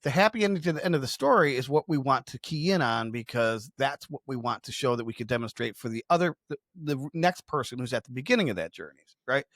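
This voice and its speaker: A man's voice: mid-range voice, fast, few pauses, a bit bright, no echo. A man explaining ideas conversationally as a business podcast co-host, emphatic and slipping into imagined customer quotes.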